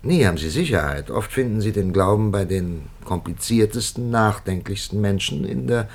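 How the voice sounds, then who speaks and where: A hesitant, gravelly prelate. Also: male voice, on the low side, expressive. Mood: reflective. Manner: hesitant, reflective, halting with pauses. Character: hesitant, thoughtful male prelate, older churchman. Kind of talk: 1950s radio drama acting.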